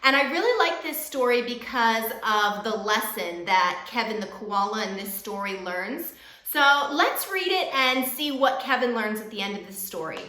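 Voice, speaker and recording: A woman teacher reading a children's storybook aloud in an expressive, encouraging way, speaking directly to young listeners. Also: fairly high pitch, normal speed, few pauses, echoey room, poor audio.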